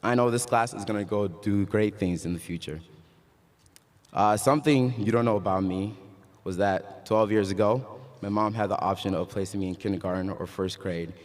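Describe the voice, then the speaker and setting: Deep-voiced young man, echoey hall. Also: normal speed. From Ghana. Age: young adult. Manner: casual joking, then earnest and uplifting. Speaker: graduation speaker. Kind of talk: commencement speech. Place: large hall.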